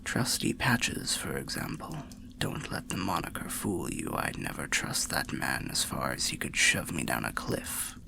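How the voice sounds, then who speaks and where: Man voicing a very deep, gravelly whispering old undead warrior. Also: very animated, slight background noise, heavily acted. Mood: musing, cautionary. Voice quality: breathy, gravelly half-whisper. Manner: slow, rambling, archaic, gently cautionary storyteller. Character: weary, kindly old undead warrior soul, cryptic guide. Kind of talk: ASMR fantasy roleplay monologue.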